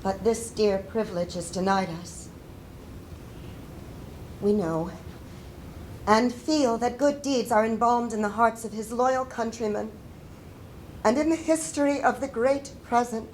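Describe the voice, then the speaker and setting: A devout, mournful mother of the Civil War era , played by an older woman, reads her letter aloud on stage. Her voice is fairly high and very slow, solemn and deliberate with grief. A slight rumble sits behind her. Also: a little mellow, lightly acted, poor audio. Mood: grieving. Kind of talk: dramatic letter reading. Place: indoor stage before a projection screen.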